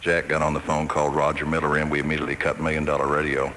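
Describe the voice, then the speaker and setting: Very deep, forceful, even-toned man. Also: racing. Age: middle-aged. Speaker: interview guest, ad agency head. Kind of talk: reminiscing in a radio interview. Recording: faintly noisy radio aircheck.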